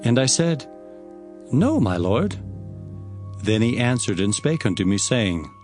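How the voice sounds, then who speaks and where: An earnest prophet, recounts visions in a very animated mid-pitched voice. The telling is deliberate and expressive scripture drama, with music clearly behind it. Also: male voice. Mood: awed, earnest. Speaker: narrator.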